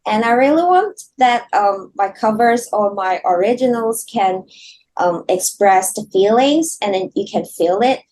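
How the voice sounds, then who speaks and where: A woman from Hong Kong answers interview questions slowly and haltingly. Her voice is soft and mid-pitched, and she laughs often between her thoughts. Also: lively intonation, poor audio. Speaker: guest singer. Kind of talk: casual interview chat.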